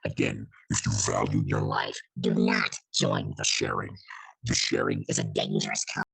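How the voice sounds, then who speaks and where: An anonymous hacker with an extremely low, rasping voice thick with gravel. The voice is disguised by a deepening distortion and delivers dire warnings in a deliberate, menacing way. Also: male voice, dry recording, full character voice. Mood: ominous warning. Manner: flat, deliberate, ominous warnings.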